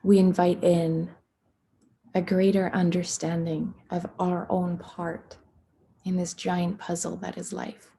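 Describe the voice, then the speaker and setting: A woman leading a guided meditation in a hushed, soothing, unhurried manner, with long pauses between phrases and a soft, breathy voice. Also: on the low side, monotone, slight background noise.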